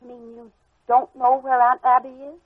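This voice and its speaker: An actress playing a feisty, headstrong, sharp-tongued lady in a 1940s radio detective drama. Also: high-pitched, little pitch movement, moderate pace, husky. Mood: worried. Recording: muffled old radio drama recording.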